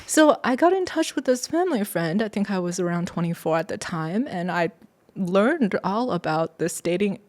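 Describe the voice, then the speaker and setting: A middle-aged woman from China who grew up partly in the US, an academic telling her story conversationally as an interview guest. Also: on the low side. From born in China, moved to the US at eight. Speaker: podcast guest, sociology professor.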